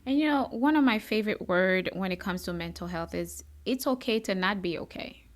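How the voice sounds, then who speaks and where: A woman's voice: mid-range voice, fairly quick. A woman from Haiti hosting a podcast, talking candidly in a chatty, bubbly, conversational way. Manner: animated, chatty, self-described bubbly. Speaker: podcast host.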